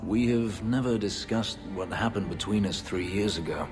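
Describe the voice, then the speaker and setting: An actor playing a brooding, bitter elf warrior who was once a slave, speaking in a grave, measured way. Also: male voice, close to an everyday voice. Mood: tender. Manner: low, grave, measured delivery. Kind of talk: video game voice acting. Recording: long reverb under game music.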